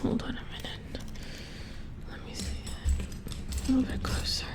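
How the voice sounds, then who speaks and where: A woman playing a calm, methodical robot repair engineer in a soft-spoken ASMR roleplay, murmuring with concentration close to the microphone. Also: unhurried, music in the background, lightly acted. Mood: calm, focused.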